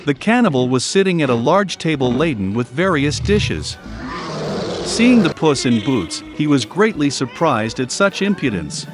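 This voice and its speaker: A man reading a scripted fairy tale for children as a storyteller. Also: mid-range voice. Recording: soft music behind the voice. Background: background music.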